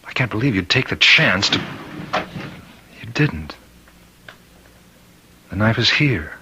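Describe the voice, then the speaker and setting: An actor playing an anguished, weary prison warden in an old radio drama, halting and strained. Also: male voice, on the low side, very animated, background noise. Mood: tense suspicion.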